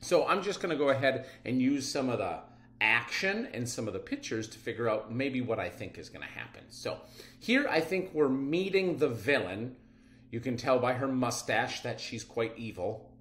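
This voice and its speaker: A man's voice: medium pitch, very animated, normal speed, a bit bright. A middle-aged man teaching a children's reading lesson, explaining expressively from an indoor room. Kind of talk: instructional read-aloud lesson for children. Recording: roomy echo.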